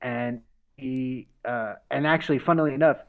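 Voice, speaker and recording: A man's voice: mid-range voice, normal speed, fairly bright tone, clear, resonant tone. A man in his forties from the United States, a podcast guest telling stories in casual conversation, laughing often and restarting with fillers. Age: 40s.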